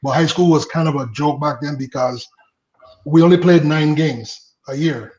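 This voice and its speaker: A man in his late thirties from the Virgin Islands, a guest telling stories about his baseball days in a relaxed way, laughing easily and trailing off with fillers. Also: a little high, moderate pace, reverberant, highs cut off. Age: late 30s. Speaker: guest, former baseball pitcher. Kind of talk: casual interview storytelling.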